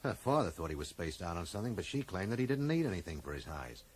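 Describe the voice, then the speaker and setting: A man's voice: deep voice, very animated, fast talker, a little hiss or hum. An actor playing a blunt, suspicious man who probes insistently, in a radio drama. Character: dogged, suspicious male amateur investigator with sense of justice. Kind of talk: 1980s radio drama acting.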